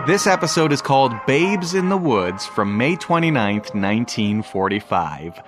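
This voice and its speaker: A man's voice: mid-range voice, big pitch swings, moderate pace, music in the background. A man hosting a podcast, reading a scripted introduction. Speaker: podcast host.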